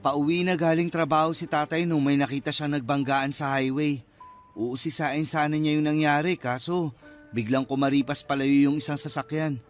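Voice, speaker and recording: Actor doing sheepish young guy, pretty high voice, earnest, radio drama with music under it. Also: steady tone, moderate pace, close to an everyday voice. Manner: apologetic, earnest. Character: apologetic, earnest, awkward young man. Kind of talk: Filipino radio drama acting. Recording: music bed behind the voice.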